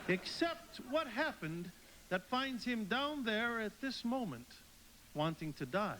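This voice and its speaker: Heavenly elder angel in radio play, explains things patiently, highish lively voice, bit of hiss. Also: male voice, heavily acted. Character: senior male angel patiently recounting a troubled mortal's life. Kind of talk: live radio play storytelling. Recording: faint background hiss.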